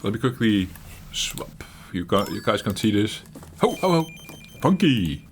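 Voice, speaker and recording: A middle-aged man hosting his retro gaming channel, talking while he plays in a halting, reflective way, searching for words and laughing at himself. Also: fairly low pitch, very animated, a little hiss or hum. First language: Dutch. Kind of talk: reflective gameplay commentary.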